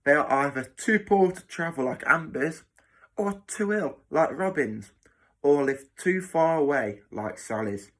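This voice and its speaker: A man performs a cruel hospital matron from a children's audiobook. She is bossy and sneering, with a raised pitch. Her delivery is snappy, sarcastic and mocking, made to humiliate. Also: expressive, unhurried.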